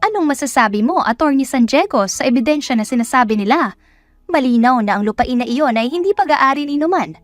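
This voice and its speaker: A woman's voice: high-pitched, lively intonation, fairly quick, no room sound, faint music behind, voice pushed up. A woman voicing a stern old judge in an audio story, authoritative, impatient and dismissive. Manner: authoritative, scolding. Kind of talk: dramatic audio story acting.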